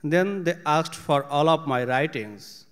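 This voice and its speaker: A man in his thirties from Bangladesh reads a prepared statement at a panel, slow and careful with a few halting restarts. His voice is smooth and clear and sits a little high. It rings out through a reverberant theater hall, picked up by a stand mic on stage. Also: expressive. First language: Bengali. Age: 30s. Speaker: panelist, exiled writer. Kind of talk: reading a prepared personal statement. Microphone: stand microphone at a panel.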